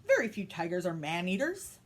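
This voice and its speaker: A woman's voice: fairly low pitch, sing-song, brisk. A woman voicing an anxious male Raja who tries to reassure, a little hesitant. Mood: uneasy reassurance.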